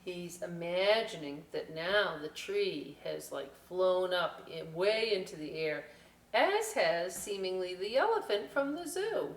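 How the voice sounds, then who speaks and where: A woman's voice: on the low side, a little slow. Woman hosting children's story time, roomy distant sound. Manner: animated, folksy, talking directly to young viewers. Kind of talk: read-aloud storytelling with chatty asides for children. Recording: roomy, distant mic with faint hiss.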